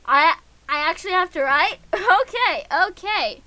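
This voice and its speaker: Woman, very high voice, slow pace, excitable, casual game streamer reading aloud. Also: no echo. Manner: excitable, gushing, giggly. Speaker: livestreamer reading a visual novel aloud.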